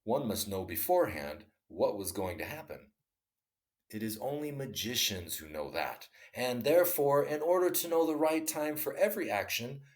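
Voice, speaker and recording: A man reading a classic short story aloud in an expressive, dramatic storytelling style. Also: on the low side. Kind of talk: read-aloud story narration.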